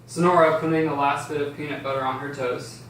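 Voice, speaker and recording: A man's voice: a little high, fairly even intonation, fairly quick. A man reading scripted stage directions as the narrator of an amateur table read. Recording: roomy, distant, faint noise.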